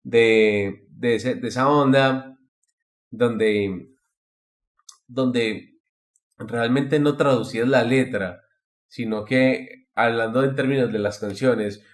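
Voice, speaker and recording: A man's voice: medium pitch, fairly even intonation, slow pace, long pauses. Man chatting casually on a podcast. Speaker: podcast host. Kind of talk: casual podcast chat about anime.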